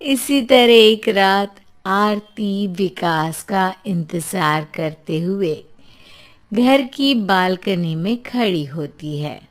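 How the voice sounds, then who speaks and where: A woman's voice: mid-range voice, extremely slow. A woman narrating a scripted story as its storyteller.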